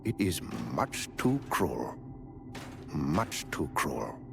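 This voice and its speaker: An actor playing a genteel, devoted manservant in a fantasy game, with formal, courtly, theatrical phrasing. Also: male voice, extremely low pitch, sing-song, very slow, gravelly, over music, heavily acted. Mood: pained, deferential. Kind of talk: video game character dialogue.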